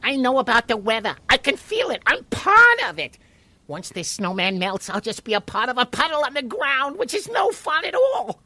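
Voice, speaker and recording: Chatty little snowflake creature a lady acting, bright and really up and down, pushy, plucky, kids audio drama. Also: high-pitched, huge pitch swings, moderate pace, no echo, exaggerated voice. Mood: urgent, pleading. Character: chatty, plucky talking water molecule inside a snowman.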